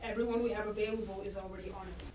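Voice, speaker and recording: A woman's voice: mid-range voice, steady tone, racing, darkish tone, close to an everyday voice. A woman playing a blunt, hard-pressed, weary sheriff in an audio drama. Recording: echoey, distant mic with hiss.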